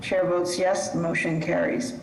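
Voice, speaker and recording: Woman, board chair, brisk, roomy echo, faint hiss. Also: fairly low pitch, little pitch movement, vocal fry. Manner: brisk, businesslike. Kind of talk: chairing a public hearing. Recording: echoey call audio.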